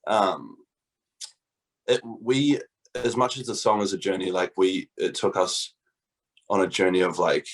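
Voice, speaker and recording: A man's voice: sits low, a little slow, pauses often, poor audio. A young man from New Zealand, a band's guitarist, talking casually and joking in an interview. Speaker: guitarist in a band.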